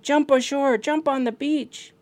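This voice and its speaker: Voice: medium pitch, lively intonation, brisk, voice pushed up, natural voice. A storyteller voicing a hungry, scheming raven trickster who calls out, coaxes and exclaims in a folk tale. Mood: goading, shouting.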